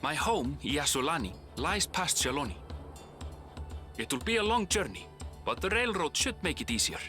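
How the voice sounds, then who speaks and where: Casting: reflective game traveller, measured and lyrical yet expressively pitched, reverberant over clear music. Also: male voice, big pitch swings, bright, forceful tone. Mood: easygoing. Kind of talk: scripted game narration and dialogue. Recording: long reverb, music behind.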